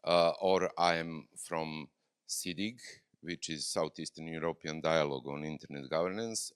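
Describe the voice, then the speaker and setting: A man, talking slowly and informally without notes. His voice is clean and dry, close to the mic. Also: a bass voice, smooth, no echo. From Serbia. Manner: informal, speaks off the cuff. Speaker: conference speaker. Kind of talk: unscripted conference talk.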